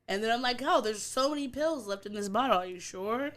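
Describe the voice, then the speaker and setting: Woman, podcast co-host, high voice, playful, insistent banter. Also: lively intonation, fairly quick. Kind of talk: casual banter.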